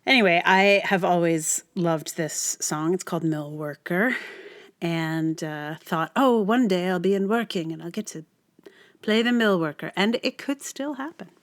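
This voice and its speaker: A woman's voice: fairly low pitch, measured pace. A woman hosting a solo podcast in a casual, rambling way, often thinking aloud in unfinished phrases. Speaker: podcast host.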